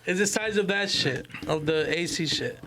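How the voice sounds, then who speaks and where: A man's voice: high-pitched, moderate pace, a loud crowd. A young man in casual podcast debate, talking in a slangy, profane, challenging way. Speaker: podcast co-host. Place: small indoor room. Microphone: podcast microphone.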